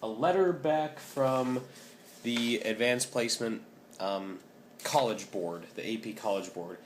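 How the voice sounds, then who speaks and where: A young man in his twenties with a scratchy, hoarse voice, talking casually in a rambling vlog update with many ums. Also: mid-range voice, measured pace. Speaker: vlogger.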